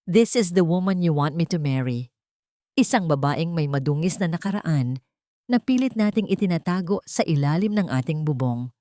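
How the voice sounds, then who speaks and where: A woman's voice: low-pitched, lively intonation, normal speed, mellow, no echo. A woman narrator plays a cold, wealthy man in an audio drama. He speaks with bitter sarcasm and contempt, in a dry, close sound.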